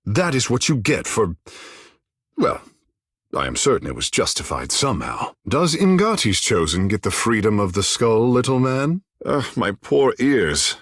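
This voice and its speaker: Vain, theatrical young demigod with wildly swooping pitch. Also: male voice, sing-song, a little slow, fairly bright tone, breathy edge, no echo, clean studio sound, full character voice. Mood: haughty, playful. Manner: theatrical, haughty, playful. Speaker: video game companion character. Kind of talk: scripted game voice lines and battle barks.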